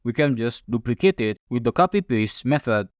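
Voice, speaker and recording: A man's voice: medium pitch, moderate pace, dry recording. Indonesian man explaining a spreadsheet, dry sound. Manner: calm, methodical step-by-step explaining. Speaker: software tutorial instructor. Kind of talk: scripted screen-recording tutorial narration.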